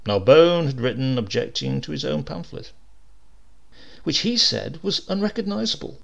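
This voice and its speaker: Lively gravelly male story reader. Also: on the high side, normal speed. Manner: lively, expressive reading with varied character voices. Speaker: audiobook reader. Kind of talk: scripted narration of a comic short story.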